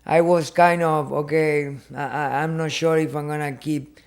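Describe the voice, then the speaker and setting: A man in his thirties from Argentina with an Argentine Spanish accent, a boxer and podcast guest telling his story in halting second-language English full of "you know". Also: fairly high pitch, steady tone. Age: 30s. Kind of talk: casual podcast interview. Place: podcast studio.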